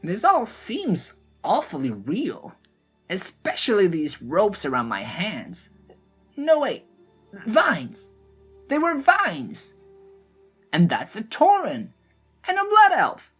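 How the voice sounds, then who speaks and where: Casting: gleeful gnome showman, played by a woman, very high voice, swooping and exclamatory, rambling fantasy audio-drama chatterbox. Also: wildly swooping pitch, takes it slowly, pauses often, slightly rough, soft background music, exaggerated voice. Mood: excited rambling.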